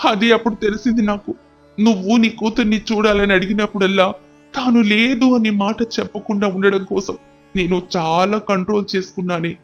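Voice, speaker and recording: Man acting high-pitched, stern, anguished father. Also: level tone, moderate pace, lo-fi sound, soft background music. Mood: anguished, confessing. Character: stern, anguished father and husband hiding grief. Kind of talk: dramatic audio story dialogue.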